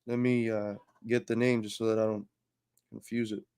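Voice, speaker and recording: A man's voice: fairly low pitch, little pitch movement, normal speed, dark tone, smooth, no room sound, clean studio sound. A man hosting a livestream, talking earnestly and building to emphatic points while feeling under the weather. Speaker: livestream host. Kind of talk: casual livestream talk.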